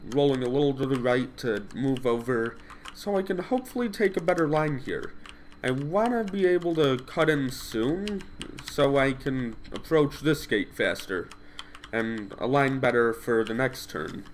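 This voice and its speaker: A man's voice: mid-range voice, slight background noise. Stuffed-up man narrating his gameplay. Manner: rambling, self-correcting, thinks aloud. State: stuffed up from a cold. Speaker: gameplay commentator. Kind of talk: casual gameplay commentary.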